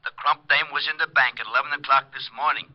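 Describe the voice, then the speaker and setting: An actor playing an eager, slow-witted policeman in a 1940s radio crime drama. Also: mid-range voice, steady tone, close to an everyday voice. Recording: muffled old radio recording.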